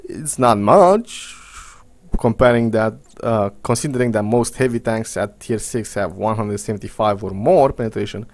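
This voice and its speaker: A man's voice: lowish voice, moderate pace, a little mellow. A young man from Romania with a Romanian accent, giving casual, rambling gameplay commentary in English, blunt and sarcastic. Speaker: gaming commentator.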